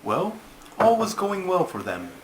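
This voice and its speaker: Guy doing a pompous turtle puppet storyteller, higher pitched, super slow n formal, touchy, noisy homemade recording. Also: wildly swooping pitch, exaggerated voice. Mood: measured storytelling. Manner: ponderous, formal, easily put out. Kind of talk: comic scripted storytelling. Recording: noisy amateur home recording.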